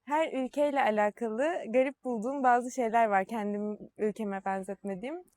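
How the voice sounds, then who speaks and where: A woman in her early twenties from Turkey speaks earnestly in a slightly high voice. She hesitates now and then. The recording is dry and close. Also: moderate pace, darkish tone, dry recording. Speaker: exchange participant. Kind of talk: casual interview answer.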